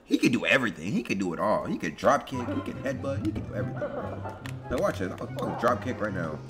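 Hoarse, wildly animated young male gamer. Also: fairly low pitch, fast talker. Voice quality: hoarse, raspy. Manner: swings from casual muttering to yelling. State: voice strained and partly lost. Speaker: gaming video host. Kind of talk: excitable gameplay commentary with slangy chat. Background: music behind the voice.

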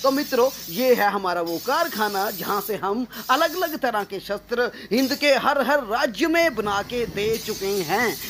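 A man's voice: high voice, expressive, unhurried, few pauses, a bit bright, slight background noise. A man gives a walking tour of his workshop and storeroom, talking to viewers as friends in a salesman's patter, recorded on a handheld phone. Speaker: sword shop owner giving a factory tour. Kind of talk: walking tour vlog, promotional.

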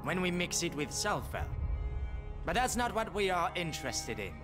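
Swooping, haughty alchemist magister. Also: male voice, sits high, sing-song. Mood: haughty, secretive. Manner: measured, condescending, theatrical. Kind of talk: dramatic video game acting. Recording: music behind the voice.

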